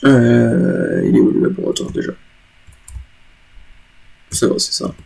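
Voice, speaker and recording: A man at home giving loose, joking commentary over a game, often stopping to correct himself. His voice sits at an ordinary pitch with a lot of gravelly fry. A cold leaves it stuffy. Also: wildly swooping pitch, takes it slowly, warm tone. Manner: rambling, self-correcting, joking. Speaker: gaming YouTuber. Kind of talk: casual let's play commentary.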